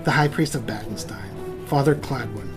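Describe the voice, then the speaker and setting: A man's voice: mid-range voice, normal speed, music in the background, close to an everyday voice. A man playing a brusque, irritable guard captain in a fantasy audio drama, curt, scornful and commanding. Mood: indifferent.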